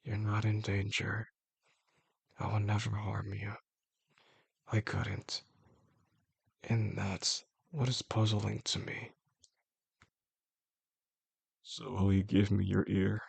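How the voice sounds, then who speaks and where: Guy acting possessive yandere boss for roleplay audio, super gravelly n gentle, controlled, really dry close sound. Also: flat delivery, no echo, exaggerated voice. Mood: calm, menacing. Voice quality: low, gravelly. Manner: slow, soothing, gentle, controlled. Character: soft-spoken, possessive yandere boss, obsessive man feigning tenderness. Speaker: voice actor. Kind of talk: intimate roleplay audio drama addressed to the listener.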